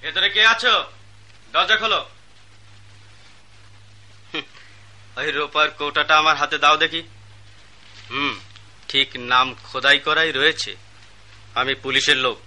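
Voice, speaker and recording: A stern police officer. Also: male voice, fairly high pitch, normal speed, long pauses, pushed and bright. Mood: commanding. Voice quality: stern, commanding. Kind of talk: Bengali radio play acting.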